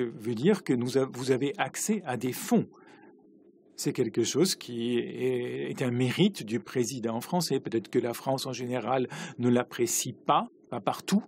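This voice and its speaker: A man doing simultaneous interpretation of parliamentary speeches, halting, with uneven pacing and frequent restarts. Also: mid-range voice, gravelly, darkish tone, a little hiss or hum.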